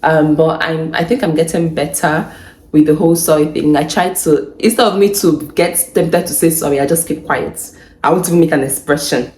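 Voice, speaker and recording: A young woman with a Nigerian accent giving casual advice in a vlog, chatty and quick to laugh, repeating points for emphasis. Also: deep voice, steady tone, darkish tone. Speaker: vlogger. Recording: echoey room.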